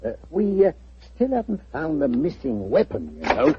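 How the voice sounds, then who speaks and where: An actor playing a blustering, self-assured police inspector in a 1940s radio drama. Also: male voice, fairly high pitch, huge pitch swings, normal speed, background noise. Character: blustering police inspector, middle-aged man. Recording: muffled old radio recording.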